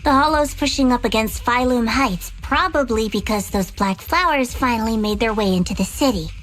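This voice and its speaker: A defiant woman fighter played by an actress with a fairly high voice. She is dry, self-assured and a little scornful, in game-drama style over music. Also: moderate pace, fairly bright tone, lightly acted, rough recording. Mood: cool, scornful. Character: cool, defiant, world-weary woman fighter. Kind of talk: video game voice acting.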